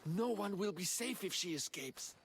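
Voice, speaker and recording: An actor playing an anxious, dramatic stage performer in a video game, earnest and uneasy in manner. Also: male voice, sits high, lively intonation, moderate pace, a little hiss or hum. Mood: shaken. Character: anxious, rattled stage actor craving godlike confidence. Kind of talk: video game cutscene acting.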